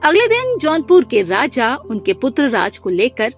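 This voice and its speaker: A woman's voice: high voice, huge pitch swings, a little slow, soft background music. A woman giving scripted narration for a children's cartoon story.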